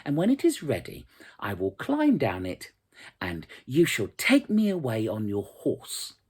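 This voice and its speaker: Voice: medium pitch, wildly swooping pitch, measured pace, darkish tone, husky, full character voice. A storyteller voicing an innocent, naive young maiden in a light, guileless manner. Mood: willing, hopeful.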